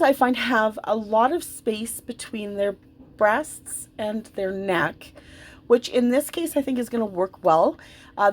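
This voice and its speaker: A woman giving casual, chatty hobby commentary in an informal, rambling and effusive way. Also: fairly high pitch. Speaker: doll hobbyist vlogger. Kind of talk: casual, chatty commentary while dressing a doll.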